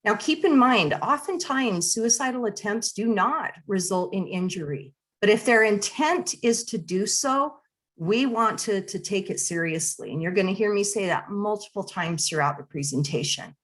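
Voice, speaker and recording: A woman presenting a webinar over a video call, speaking in a friendly, conversational way with fillers and restarts, her voice slightly hoarse and clearing her throat. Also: mid-range voice, expressive, moderate pace, fairly bright tone. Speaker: nonprofit area director presenting a webinar. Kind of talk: online presentation to attendees.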